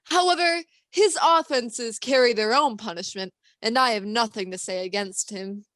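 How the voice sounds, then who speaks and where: A teenage woman acting a good-natured, forgiving young gentleman in a radio play, animated and good-humored. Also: on the high side, moderate pace, no room sound, natural voice. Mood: amused.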